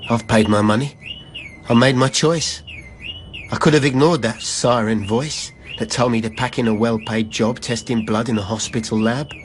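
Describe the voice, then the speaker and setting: An actor as a wistful, homeless graduate speaking radio-play verse at a medium pitch. He sounds earnest and lyrical, with clear ambience and birds behind him. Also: steady tone, moderate pace, slight background noise, close to an everyday voice. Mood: defensive hope. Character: wistful, self-persuading graduate man living in a van. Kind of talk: poetic radio drama monologue.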